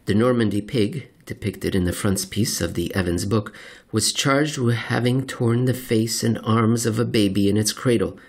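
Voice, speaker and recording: Husky man reading an essay aloud. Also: lowish voice, moderate pace. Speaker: podcast host reading aloud. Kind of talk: scripted narration, dramatic essay reading.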